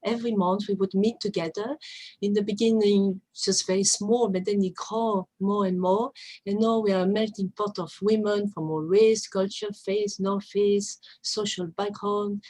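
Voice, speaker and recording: A middle-aged woman speaks with a Belgian French accent in a slightly rough, breathy voice of medium pitch. She is a native French speaker with non-native phrasing, giving an earnest personal account at an online event. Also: steady tone, moderate pace, a little mellow, low-quality recording. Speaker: coordinator of a women's peace group.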